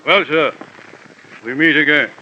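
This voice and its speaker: Sharp, pompous courtly gentleman. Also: on the high side, a little slow, soft background music. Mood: suavely polite. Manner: formal, pompous, grandiloquent. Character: courtly, florid, theatrical gentleman retrieving a stolen treasure. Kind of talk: old-time radio drama acting. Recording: dull, noisy old radio recording.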